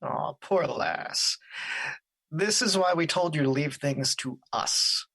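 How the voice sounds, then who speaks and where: A woman playing a calm, pitying personification of a positive emotion in an audio drama, gently reproving. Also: sits low, takes it slowly, husky, exaggerated voice.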